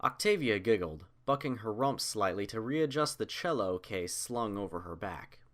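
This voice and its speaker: A man's voice: lowish voice, lively intonation, moderate pace. A man reading scripted fiction aloud as the narrator of a story.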